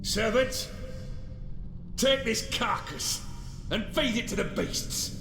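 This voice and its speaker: A man's voice: sits high, over music, exaggerated voice. A man playing a cold, commanding nobleman husband with a hollow heart in a video game drama, speaking imperiously. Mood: commanding fury. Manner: imperious, slow and deliberate. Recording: echoey room with clear background noise.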